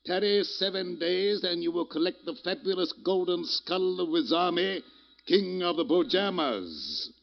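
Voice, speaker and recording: A theatrical, eccentric gentleman with a high, very animated voice. He speaks in florid, grandiose phrases like an oracle. It is 1940s radio drama with dull, vintage sound. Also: unhurried, vocal fry, slight background noise, exaggerated voice. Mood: grand, oracular. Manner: florid, grandiose, archaic diction.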